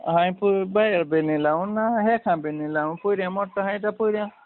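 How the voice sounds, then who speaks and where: A man with a creaky voice talking casually on a phone call in a rambling, repetitive way. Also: high-pitched, fairly quick. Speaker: prank call participant. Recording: narrow phone line with faint noise.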